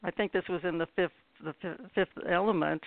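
Halting woman radio host, phone-like sound. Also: lowish voice, fairly even intonation, creaky, dry recording. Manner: halting, hesitant delivery. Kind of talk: hosting a radio interview. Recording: tinny phone-like line.

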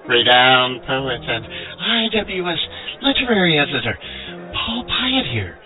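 Guy doing a pompous florid poet laureate, radio comedy, slow deliberate delivery, music behind, dull sound. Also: mid-range voice, sing-song. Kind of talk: mock-literary scripted monologue.

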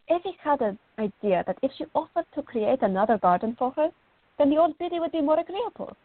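An actress playing a fiery, outspoken young Greek woman artist in a radio play, on a thin recording. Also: high voice. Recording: thin, phone-like audio.

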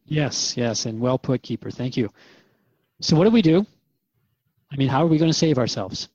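A man's voice: medium pitch, animated, normal speed, a little mellow, no room sound, dull audio, natural voice. A man playing a curious human radio interviewer, informal and slightly bemused, in a conversational drama. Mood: earnest, questioning.

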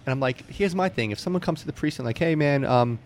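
A man's voice: on the high side, animated, quick pace. An American man hosting a casual interview, informal and blunt in the way he talks. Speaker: interview host. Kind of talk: casual chat.

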